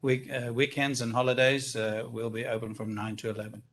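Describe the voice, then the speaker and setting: A man's voice: medium pitch, little pitch movement, fairly quick. A man with a South African accent, speaking enthusiastically and at length at a microphone during a council meeting. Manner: enthusiastic, expansive, self-deprecating. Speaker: business owner presenting a project. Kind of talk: public comment at a council meeting. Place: council chamber. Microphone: speaking at a stand-up microphone.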